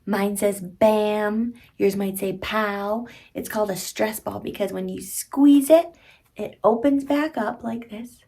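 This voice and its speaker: A woman's voice: mid-range voice, dark tone. A woman in her thirties reading a picture book aloud to young children, speaking expressively in simple teacherly phrases and addressing the child directly.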